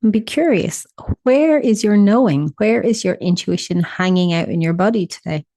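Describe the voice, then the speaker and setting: A woman leading a guided meditation in a soothing, instructional manner with deliberate pauses. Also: medium pitch, animated, dark tone, dry recording.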